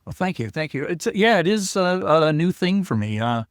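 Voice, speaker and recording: Older male guest, shy, halting storyteller, mid pitch, dry close sound. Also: sing-song, clear, resonant tone, no room sound. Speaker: guest, COPD advocate. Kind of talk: casual interview talk.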